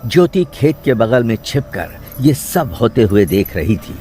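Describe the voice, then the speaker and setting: A man narrating a scripted suspense story with dramatic, measured storytelling. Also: medium pitch, big pitch swings, mellow, a little hiss or hum.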